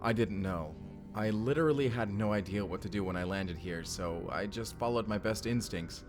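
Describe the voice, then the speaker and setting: A man's voice: on the low side, moderate pace, music in the background, natural voice. A man playing a bewildered, apologetic reluctant recruit in a time-travel drama, speaking informally and hesitantly. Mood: sheepish, apologetic. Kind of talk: cinematic audio roleplay acting.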